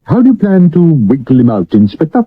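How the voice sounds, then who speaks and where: A man playing an urbane, self-assured Victorian gentleman sleuth in a period radio drama, dry and witty. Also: fairly high pitch, sing-song, a little fast, breathy edge. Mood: wry, assured. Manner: dry, witty, commanding, lofty. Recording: muffled 1970s medium wave radio recording.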